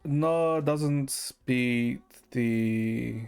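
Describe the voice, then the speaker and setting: A man's voice: medium pitch, drawn-out words, creaky, darkish tone, smooth. A man streaming a game and chatting casually with viewers, thinking aloud hesitantly with some mild swearing. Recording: music playing behind the voice. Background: music.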